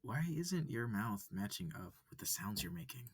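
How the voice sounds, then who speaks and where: A man voicing a wary, confused human student in a visual novel, halting and skeptical. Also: medium pitch, sing-song, gravelly, slightly rough, no echo, studio quality, voice pushed up, exaggerated voice. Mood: uncertain, puzzled. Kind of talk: visual novel character reading.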